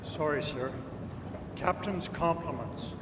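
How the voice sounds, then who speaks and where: A man's voice: a little high, slow. A man playing a dutiful ship's officer, deferential and formal, in an old-time radio drama. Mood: respectful. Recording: echoey, distant, noisy stage recording.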